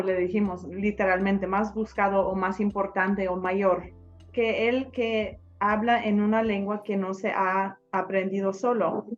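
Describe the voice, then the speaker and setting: Mujer que lee despacio y con cuidado. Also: female voice, on the high side, lo-fi sound. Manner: slow, careful reading with hesitations. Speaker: translation team member. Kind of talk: reading out a draft translation.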